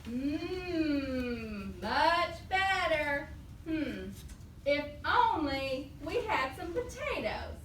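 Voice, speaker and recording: Woman playing a high-pitched, slow-talking clever squirrel. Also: sing-song, a bit bright, exaggerated voice. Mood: pondering. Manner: deliberate, drawn-out, savoring. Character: clever, confident traveling squirrel cooking magic soup. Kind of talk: live children's puppet show. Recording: echoey room, distant mic, faint noise.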